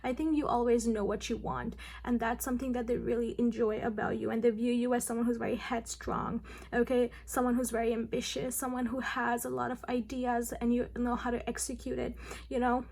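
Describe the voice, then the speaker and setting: A young woman with a hoarse, raspy voice from losing it, giving a casual chatty tarot card reading to her viewers with frequent ums. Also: fairly high pitch, flat delivery, fairly quick, darkish tone.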